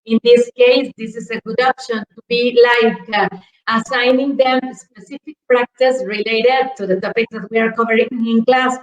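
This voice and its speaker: Woman teacher, high voice, echoey call. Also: steady tone, normal speed. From Mexico. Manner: explanatory, many fillers and repeated words. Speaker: English teacher presenter. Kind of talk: online conference Q&A answer. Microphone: video call.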